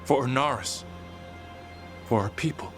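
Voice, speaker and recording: Fervent elven devotee for a fantasy game, male actor: mid-pitched, solemn, formal delivery, strong musical backing. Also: a little slow, soft background music, close to an everyday voice. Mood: remorseful. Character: solemn, devoted elven zealot bound to a god's mask. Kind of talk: dramatic video game voice acting.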